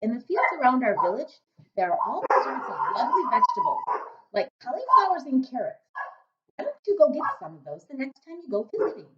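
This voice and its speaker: A woman voicing a small, polite, curious young girl who speaks up high and sometimes stammers, in a storybook reading. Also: wildly swooping pitch, echoey room, highs cut off. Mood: eager. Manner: high, quick to apologize, hesitant stammers.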